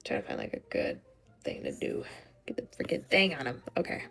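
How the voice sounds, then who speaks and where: A woman's voice: extremely low pitch, wildly swooping pitch, fairly quick, fairly bright tone, faint music behind. Scratchy young woman rambling while drawing. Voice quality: scratchy, vocal fry. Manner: rambling, swears often, mutters to herself. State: voice giving out, hoarse. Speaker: art vlogger.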